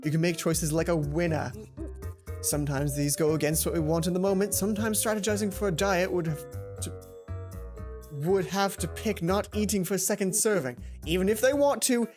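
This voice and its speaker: A woman voicing an intense, bossy schoolboy gamer who lectures forcefully like a drill instructor in a visual novel. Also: on the low side, natural voice. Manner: forceful, commanding, emphatic.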